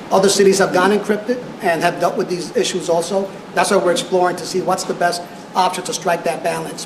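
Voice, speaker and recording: Halting male official in an echoey room. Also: high-pitched. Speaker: police official giving press conference. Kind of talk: press conference answers. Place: indoor press conference room. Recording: echoey noisy press conference room.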